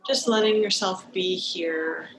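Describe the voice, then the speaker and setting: A woman leading a guided meditation as a teacher, speaking gently and soothingly, recorded over a video call. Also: lowish voice, steady tone, crowd noise, low-quality recording. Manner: gentle, unhurried, soothing instruction.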